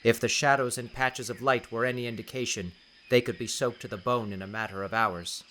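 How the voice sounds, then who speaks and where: A man reading dramatic scripted narration for an audiobook in a deliberate, theatrical way. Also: mid-range voice, steady tone, a bit bright, a little hiss or hum, rough recording.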